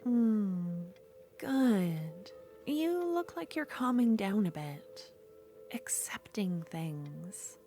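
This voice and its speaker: A woman playing an obsessive, possessive yandere duelist in an ASMR fantasy roleplay, speaking intimately and moving between soft speech and breathy whispers. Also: mid-range voice, sing-song, drawn-out words, faint music behind, exaggerated voice. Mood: infatuated, wistful.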